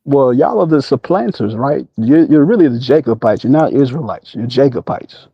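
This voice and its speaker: American guy calling in to debate, needling questions, muffled lo-fi call audio, average pitch. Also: animated, moderate pace, breathy edge, no room sound. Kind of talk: casual debate, pointed questioning. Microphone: online voice call. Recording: dull lo-fi online call.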